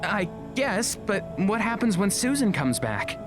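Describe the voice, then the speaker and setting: A woman playing an easygoing, modest high school student in an audio drama, casual and slightly hesitant, with music behind. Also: sing-song, unhurried. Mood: unsure.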